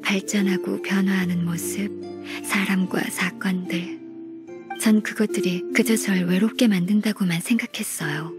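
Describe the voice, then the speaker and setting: A woman playing a gentle, earnest, modest young secretary in a video game, speaking softly and politely. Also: medium pitch, steady tone, music in the background, close to an everyday voice. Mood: touched, tender. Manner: soft, polite, measured.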